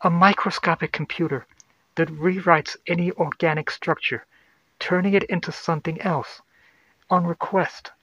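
A man's voice: fairly high pitch, fairly even intonation, moderate pace, pushed and bright, slightly rough, no echo, lo-fi sound, voice pushed up. A man voicing an anxious woman science officer, urgent and explanatory, in a sci-fi horror audio story. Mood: urgent, explaining.

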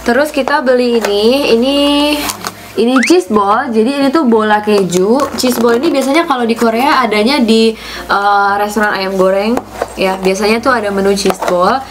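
A woman's voice: on the high side, normal speed, noisy background. A young woman chatting casually as she films a shopping vlog, upbeat and explaining things as she goes. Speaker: vlogger. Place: supermarket, then home dining room. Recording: background music.

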